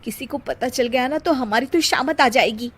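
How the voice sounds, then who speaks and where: A woman voicing a cautious young married elder sister who talks in a gentle, scolding way in a cartoon story. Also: high-pitched, normal speed, husky.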